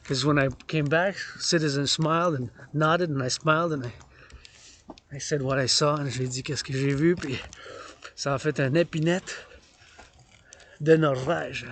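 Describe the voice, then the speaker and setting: Man, quebec french accent, declamatory, repeats himself, fairly high voice, outdoors on a trail. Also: fairly even intonation, unhurried, a bit bright, a little hiss or hum. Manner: declamatory and theatrical, repeats phrases in both languages. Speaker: vlogger. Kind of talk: walking vlog, mixing English and French. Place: outdoors on a wooded mountain path.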